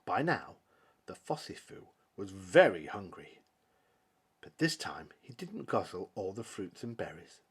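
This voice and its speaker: Slow, animated man reading a children's story. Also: on the low side, breathy edge. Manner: theatrical, emphatic pauses. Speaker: storybook reader. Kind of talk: dramatic children's story narration.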